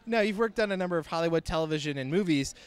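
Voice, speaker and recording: A man interviewer asks polite prepared questions in quick, clear, smooth speech, set somewhat high. He starts his questions with hesitant fillers before running through them fast. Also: animated.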